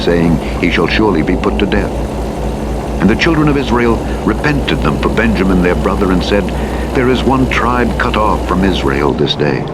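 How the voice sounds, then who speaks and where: A man narrating scripture from a script in a solemn, measured, formal delivery. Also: sits low. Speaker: audiobook Bible narrator. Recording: loud vehicle engine noise throughout. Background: truck driving simulator game sounds.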